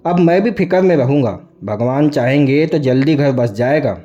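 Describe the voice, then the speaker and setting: A man's voice: medium pitch, expressive, moderate pace, warm tone, dull audio, natural voice. A man voicing a wily middle-aged village farmer in a storybook, ingratiating and folksy in his rustic speech. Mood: coaxing.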